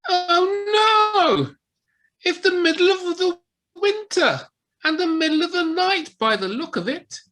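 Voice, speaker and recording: A woman voicing a gruff, bossy older badger who scolds with authority in an audio play. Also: very high voice, expressive, slow, fairly bright tone, heavily acted. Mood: grumpy. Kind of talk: community audio play acting. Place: living room, recorded over video call.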